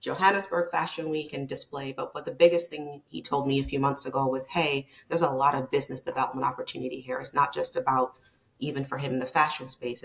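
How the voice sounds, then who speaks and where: A woman's voice: extremely low pitch, creaky, fairly bright tone, low-quality recording. A woman from Barbados, a leadership consultant, talking as a guest in a conversational podcast interview, reflective and emphatic.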